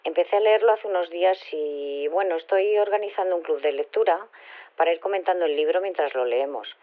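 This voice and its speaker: A friendly woman leaves an informal announcement. Her slightly low voice comes through a thin telephone filter, casual and a little halting. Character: friendly female resident leaving a book club announcement. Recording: thin, narrow phone recording.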